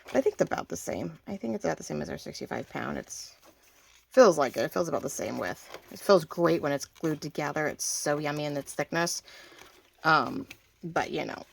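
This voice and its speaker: A woman hosting a casual crafting tutorial, chatty and thinking aloud as she works, slightly hoarse and often clearing her throat. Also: deep voice. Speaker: craft video host.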